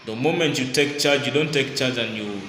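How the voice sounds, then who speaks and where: A man from Uganda, giving an informal health talk and often pausing with fillers and restarts. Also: mid-range voice, a bit bright, a little hiss or hum. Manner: halting, many fillers and restarts. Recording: echoey room with long reverb.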